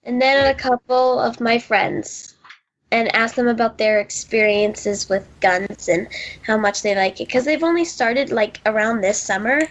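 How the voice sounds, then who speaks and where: Young female guest, child or teen, high voice, casual conversational answers. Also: unhurried, a little hiss or hum, low-quality recording. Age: child or teenager. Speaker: interview guest, young shooting video maker.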